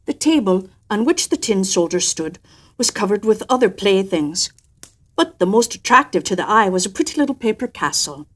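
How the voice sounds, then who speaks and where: A woman reading a children's story aloud in an expressive, theatrical storytelling manner, with emphatic swells and softer drops. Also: mid-range voice, moderate pace. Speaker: storyteller and host. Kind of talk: expressive storybook narration with an in-character personal anecdote.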